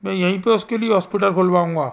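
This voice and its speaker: A performer voicing an earnest, protective male village headman in an animated Hindi story, speaking emphatically. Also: medium pitch, quick pace, vocal fry, muffled recording, close to an everyday voice. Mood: proud, kindly. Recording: music behind the voice.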